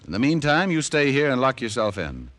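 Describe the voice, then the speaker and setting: An actor playing a breezy, wisecracking American private detective with a glib, wry delivery, in an old-time radio drama. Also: male voice, mid-range voice, big pitch swings, fairly quick, fairly bright tone. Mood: businesslike.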